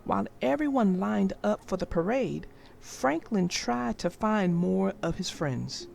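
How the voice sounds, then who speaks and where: Slow, expressive woman reading a story. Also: medium pitch, vocal fry, faint music behind. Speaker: storybook narrator. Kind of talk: children's read-aloud.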